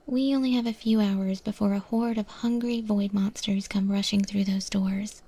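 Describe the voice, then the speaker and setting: A woman voicing a wry, easygoing eldritch monster chef in a breathy, whispered ASMR roleplay, speaking with hesitant, thoughtful pauses. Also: medium pitch, fairly even intonation, dark tone. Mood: businesslike, reassuring. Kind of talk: ASMR roleplay, softly spoken to the listener.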